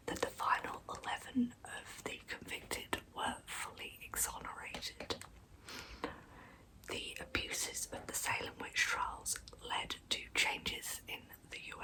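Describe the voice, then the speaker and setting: A woman whispering a true crime story as an ASMR host, hushed throughout. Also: high-pitched, poor audio.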